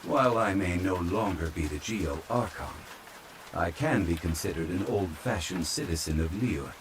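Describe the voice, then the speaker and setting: A man playing a calm, courtly ancient former god from a fantasy game, speaking formally and with measured politeness in an ASMR roleplay. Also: low voice, noisy background. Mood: calm, courteous. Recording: steady rain sound over the voice. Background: rain falling.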